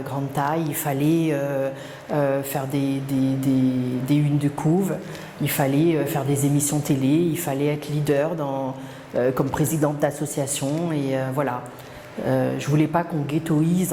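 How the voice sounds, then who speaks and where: Middle-aged woman, fairly low mellow voice, hesitant and reflective, echoey room with a long reverb tail. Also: little pitch movement, measured pace, slight background noise. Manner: hesitant and reflective, many fillers and restarts. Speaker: interviewee. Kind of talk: personal testimony interview.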